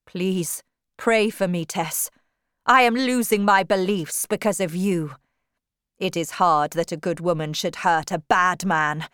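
An intense young gentleman preacher , as a female narrator plays him, speaking slowly and earnestly. He is remorseful and lovesick, pressing hard in a dramatised storybook reading. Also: mid-range voice, clear, resonant tone, no echo, clean studio sound.

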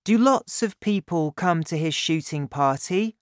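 A man reading an audiobook, voicing an eager, clever young boy with a raised pitch and excited questions. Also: unhurried, clean tone, no room sound, studio quality. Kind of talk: audiobook character voice.

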